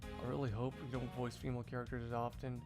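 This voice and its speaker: A man's voice: medium pitch, flat delivery, fast, over music. A man chatting casually as he streams a game live.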